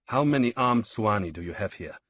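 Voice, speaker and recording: A man's voice: lowish voice, animated, brisk, a bit bright, no room sound. A man playing a stern, urgent Roman commander. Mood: firm.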